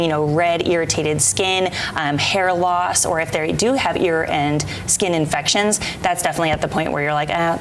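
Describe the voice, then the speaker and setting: A woman's voice: sits low, a little hiss or hum. A woman speaking as a veterinarian guest in a TV interview, explaining things in a casual, conversational way.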